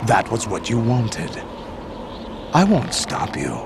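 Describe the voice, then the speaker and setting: An actor playing a proud, commanding male rebel leader in a video game, speaking slowly. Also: lowish voice, wildly swooping pitch, creaky, background noise, full character voice. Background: game score music.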